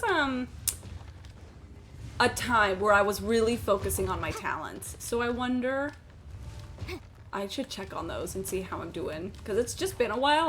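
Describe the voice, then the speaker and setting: High voiced girl streaming a game, super animated, swears a lot, casual chat. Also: a little hiss or hum. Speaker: livestreamer. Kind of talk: casual gaming stream commentary.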